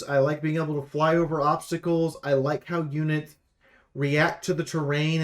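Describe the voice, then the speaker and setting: Guy with a slightly high voice doing game preview commentary, casual, blunt, opinionated. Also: a little mellow. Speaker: game reviewer and streamer.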